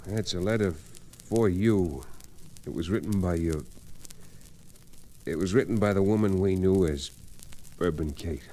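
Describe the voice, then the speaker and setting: An actor playing a solemn, kindly western man in an old-time radio drama, speaking in a measured, reflective, folksy way. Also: deep voice, mellow. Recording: noisy old radio recording.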